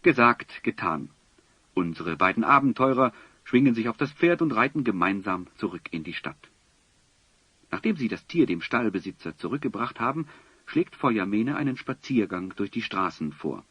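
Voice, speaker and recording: A man narrating a scripted radio play as a storyteller, measured, on a dull lo-fi recording. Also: on the low side, big pitch swings, a bit bright, breathy edge, dry recording. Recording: dull lo-fi cassette-era recording.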